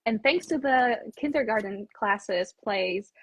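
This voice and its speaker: A young woman from Poland, native in Polish, speaks English with an American accent. Her voice sits at an average pitch with a slightly husky edge. She talks casually, as an interview guest on a video call. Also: lively intonation, takes it slowly. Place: indoors on an online video call.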